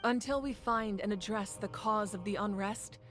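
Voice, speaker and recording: A woman playing a dignified, resolute ruler in a dramatic game, speaking in a measured, formal and authoritative way. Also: mid-range voice, fairly bright tone, faint music behind, lightly acted. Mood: grave, earnest.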